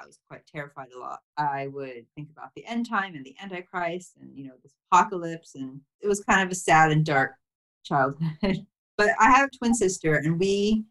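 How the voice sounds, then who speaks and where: A woman with a notably low voice talks through her past as a podcast guest. She grew up in Thailand, and her speech is reflective and soft, full of "you know" and small laughs. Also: expressive, normal speed, low-quality recording. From grew up mostly in Thailand. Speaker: memoir author, podcast guest. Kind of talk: casual interview chat.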